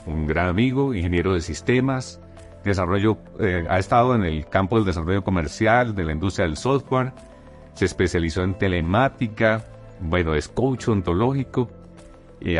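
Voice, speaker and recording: A man hosting a podcast, giving measured, formal introductions and questions. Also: low voice. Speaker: podcast host.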